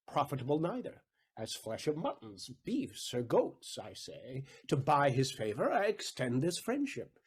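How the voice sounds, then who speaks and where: An actor playing an aggrieved, wily moneylender in a Shakespearean audio drama, deliberate, rhetorical and sardonic in manner. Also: male voice, a little high, darkish tone, husky. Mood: feigned hurt.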